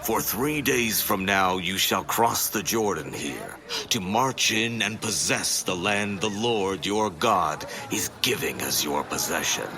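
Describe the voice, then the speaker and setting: Israelite commander in a bible drama, really animated, declaiming slowly, authoritative. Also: male voice, deep voice, crowd noise, full character voice. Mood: commanding. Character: Joshua, forceful commanding leader of Israelites. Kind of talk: dramatised scripture audio drama.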